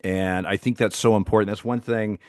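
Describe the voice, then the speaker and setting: A man's voice: fairly low pitch, fairly even intonation, darkish tone, smooth, dry recording, clean studio sound. A middle-aged man, a retired umpire, talking in a joking, stammering casual manner. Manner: joking and stammering, quick laughs. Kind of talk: casual chat.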